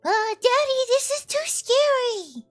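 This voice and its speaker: A woman acts out a timid little girl in a story game. Her high voice swings widely in pitch and moves slowly, childlike and pleading, with innocent questions. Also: animated, soft background music, exaggerated voice. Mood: frightened. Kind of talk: character read-aloud of a visual novel.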